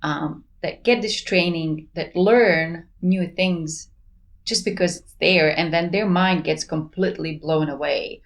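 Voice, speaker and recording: A woman in her forties from Bosnia, speaking English with a Bosnian accent as a researcher guest in a casual interview, earnest and enthusiastic, laughing between thoughts. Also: low voice. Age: 40s. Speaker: plant pathology researcher, podcast guest.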